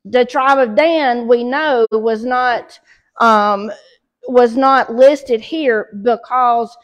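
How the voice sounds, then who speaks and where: Slow-speaking Southern woman teaching Bible study. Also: a little high, low-quality recording. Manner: reads scripture aloud, then explains with pauses and fillers. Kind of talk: church Bible study lesson. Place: small church fellowship hall. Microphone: far camera.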